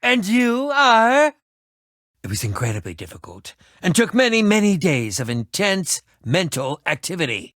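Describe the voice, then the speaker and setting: A man's voice: sits high, wildly swooping pitch, measured pace, a bit bright, dry recording, studio quality, exaggerated voice. An actor playing an eccentric, self-important man who fancies himself a ninja in a video game, speaking in a pompous, dramatic, wordy manner. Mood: theatrical. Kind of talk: animated video game voice acting.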